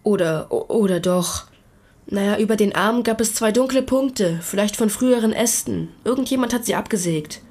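A woman's voice: medium pitch, darkish tone, natural voice. Hesitant, earnest schoolboy played by a woman. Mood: evasive, uneasy. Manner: hesitant, halting, defensive. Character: schoolboy Tobias, hesitant, earnest, secretive witness. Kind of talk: naturalistic German radio drama dialogue.

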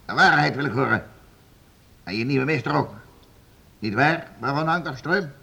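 A man's voice: mid-range voice, expressive, moderate pace, creaky, bright, forceful tone, breathy edge, heavily acted. An actor playing a stern, domineering nobleman in a period radio play, curt, impatient and commanding. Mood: threatening. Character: stern, domineering nobleman interrogating servants for the crown. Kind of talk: period radio play acting in formal Dutch.

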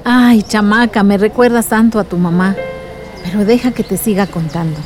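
Brief: actress as warm storytelling aunt for radio drama, medium pitch, poetic and reflective, music underneath. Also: female voice, fairly even intonation, normal speed, close to an everyday voice. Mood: fond, nostalgic. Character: warm reflective village aunt recounting the past to her niece.

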